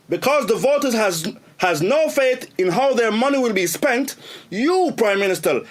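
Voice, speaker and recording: A man with a Jamaican accent playing a worried, cautioning government minister in a radio drama, earnest and oratorical in delivery. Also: very high voice. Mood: firm, urging.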